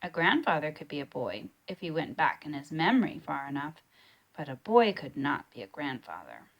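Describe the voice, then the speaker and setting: A woman reading a children's storybook aloud in a deliberate, expressive way. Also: low voice, gravelly, dull audio. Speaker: storybook reader.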